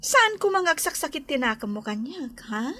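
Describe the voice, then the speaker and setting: An actress playing a gushing, sugary young bride-to-be in an Ilocano radio drama, with an Ilocano accent. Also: female voice, high voice, big pitch swings, normal speed, bright, forceful tone, no room sound, full character voice. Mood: sweetly smug. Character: Carla, gushing young bride-to-be boasting to her rival.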